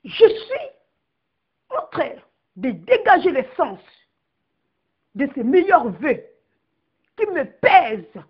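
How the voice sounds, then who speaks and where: A woman from the Republic of the Congo makes an impassioned political appeal in a high voice that rises and falls widely. She speaks slowly and weighs every word, and she declaims her long lists one word at a time. The recording sounds dull and lo-fi. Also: wildly swooping pitch. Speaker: political activist addressing compatriots.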